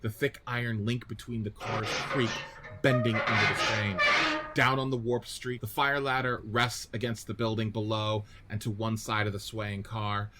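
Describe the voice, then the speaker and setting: A man's voice: fairly low pitch, level tone, moderate pace, over loud music. A man reading scripted narration and stage directions for a radio play.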